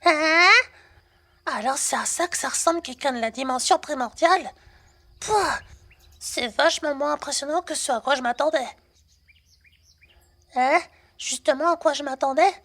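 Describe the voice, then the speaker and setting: A woman's voice: high-pitched, moderate pace, long pauses, a bit bright. A woman playing a brash, cheeky young working girl in a French roleplay audio drama, talking in casual slang with a teasing manner. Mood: frank, cheerful.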